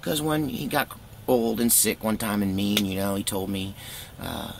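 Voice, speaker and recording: Middle-aged American man, gravelly voice, casual storyteller, candid and folksy, rambling, faint background noise. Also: fairly low pitch, fairly even intonation, moderate pace. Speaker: vlogger telling a personal story. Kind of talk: casual first-person storytelling.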